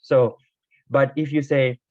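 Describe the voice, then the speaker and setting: Guy explaining korean grammar, not a native speaker, lots of uh's and restarts, bit hoarse, dry lo-fi sound. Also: mid-range voice, flat delivery, slow, no room sound. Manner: hesitant, many fillers and self-corrections. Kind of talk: casual video lesson. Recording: dull, dry lo-fi recording.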